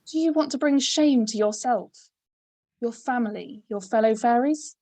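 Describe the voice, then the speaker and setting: A woman plays a commanding fairy boss in a comedy script read. Her voice sits high, and she barks and scolds with fierce, bossy authority. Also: moderate pace, dark tone, close to an everyday voice. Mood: angry, scolding. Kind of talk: scripted screenplay table read.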